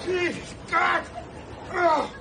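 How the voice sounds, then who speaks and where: An actor playing a sardonic, rambling middle-aged down-and-out man, who breaks into shouts. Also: high-pitched, big pitch swings, unhurried, crowd noise, noisy background, full character voice. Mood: frantic. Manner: wry, rambling, bursts into shouts. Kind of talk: screen drama acting.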